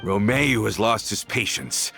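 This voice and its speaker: Bitter, gravelly male villain, dramatic swings. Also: fairly low pitch, sing-song, pushed and bright, soft background music, exaggerated voice. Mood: cold menace. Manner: menacing, scornful, theatrically drawn-out. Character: bitter vengeful man, former crime-family loyalist turned traitor. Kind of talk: dramatic video game acting.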